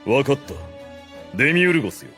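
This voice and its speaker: A man's voice: medium pitch, big pitch swings, a little fast, fairly bright tone, soft background music. A man playing a grave, commanding skeleton overlord in an anime audio drama, speaking in a solemn, pompous, regal manner.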